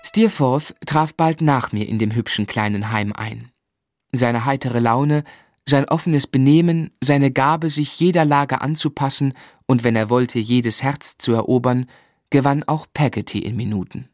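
Radio drama narrator: man as articulate, affectionate gentleman telling his life story, medium pitch, dry studio sound. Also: unhurried, no room sound, natural voice. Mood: admiring, reflective.

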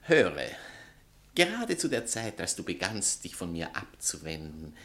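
A man's voice: low-pitched, sing-song, a little slow, fairly bright tone, exaggerated voice. An actor playing a vain, scornful male writer and spurned lover in a satirical stage comedy, pompous and declamatory. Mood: grandiose, reproachful. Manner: pompous, theatrical, declamatory, swinging from outbursts to sneers. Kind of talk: theatrical satirical comedy acting.

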